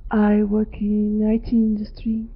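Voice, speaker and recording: Woman from Brazil, student, flat and gravelly, phone-like sound. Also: mid-range voice, measured pace. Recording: tinny phone-like call audio.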